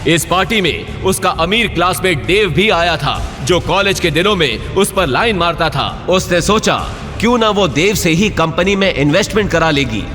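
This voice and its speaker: A man's voice: sits high, expressive, moderate pace, sharp tone, long reverb tail. A man giving dramatic scripted narration of an audio story, with music behind him.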